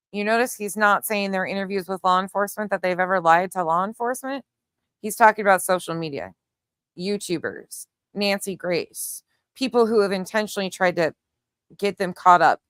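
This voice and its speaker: A woman's voice: medium pitch, a bit bright, no echo, studio quality. A woman hosting an opinionated online case commentary, speaking in a pointed, emphatic, argumentative way. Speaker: commentary show host.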